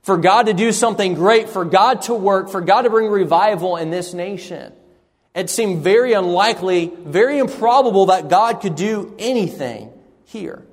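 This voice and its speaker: A man preaching an earnest sermon, often repeating words and pausing with fillers. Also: high-pitched, in a large hall. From raised partly in Sri Lanka on the mission field.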